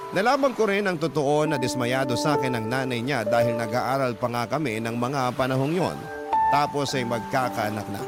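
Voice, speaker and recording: A man's voice: medium pitch, lightly acted. A man with a Filipino accent reading scripted first-person narration for a radio drama. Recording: loud music bed under narration.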